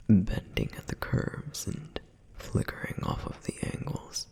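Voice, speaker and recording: A man voicing a dutiful, protective male flame demon in an ASMR roleplay, with a soft whispered and wistful, reflective delivery. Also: sits low, vocal fry, breathy edge, exaggerated voice.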